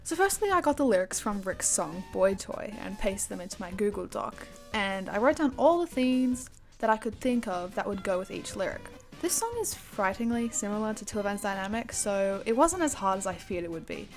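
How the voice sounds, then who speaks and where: A young woman speaks in a casual commentary voice-over, chatty and self-deprecating. She keeps a middling pitch and a mock-dramatic lilt as she narrates. Faint music plays underneath. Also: animated, normal speed, hardly any pauses. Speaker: animatic creator.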